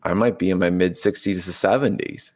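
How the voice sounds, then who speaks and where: Man in his 40s, very low voice, casual rambling interview talk, restarts a lot. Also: a little fast. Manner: rambling, self-interrupting, earnest. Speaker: podcast guest, triathlete and biology teacher.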